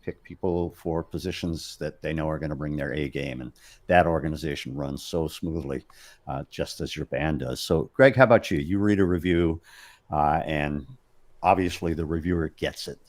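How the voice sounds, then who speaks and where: A man interviewing band members in a relaxed, easygoing way. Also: rumbling low, normal speed. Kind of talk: casual interview questions.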